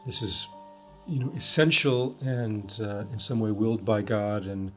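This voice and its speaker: Man from the US, first language Hebrew, lowish voice, thoughtful and halting; faint music under. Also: fairly bright tone. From United States. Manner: thoughtful, halting, many restarts and fillers. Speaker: interviewee. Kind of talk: documentary interview.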